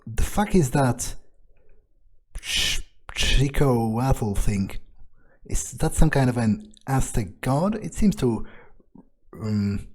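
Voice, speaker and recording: A man roleplaying a cynical, arrogant vampire in a video game, talking in a blunt, halting, profane way. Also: medium pitch, expressive. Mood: curious, blunt. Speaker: gamer roleplaying his character.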